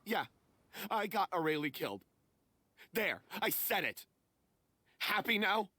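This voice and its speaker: A man's voice: high voice, very animated, unhurried, pushed and bright, exaggerated voice. A man playing a guilt-ridden member of a theater troupe, speaking in remorseful, dramatic confession. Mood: despairing, self-loathing. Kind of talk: dramatic voice acting.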